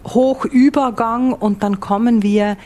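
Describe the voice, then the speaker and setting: A woman's voice: a little high, fairly even intonation, takes it slowly, a little mellow, a little hiss or hum. A woman with a Swiss accent, a foreign correspondent giving vivid, explanatory answers in an interview and rephrasing as she goes. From Switzerland. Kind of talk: interview answers, descriptive reporting.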